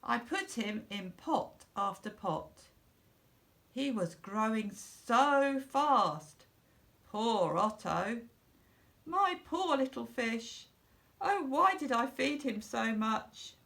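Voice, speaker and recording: Middle-aged Englishwoman, creaky voice, unhurried and expressive children's storybook reader. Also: fairly high pitch. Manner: slow, deliberate, simple phrasing for young children. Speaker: school storyteller.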